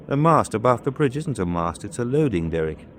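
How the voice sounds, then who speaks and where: An actor playing a cool, quietly skeptical U-boat first officer, precise and formal, in old-time radio war drama style. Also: male voice, medium pitch, very animated, a little fast, slight background noise. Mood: observant, concerned.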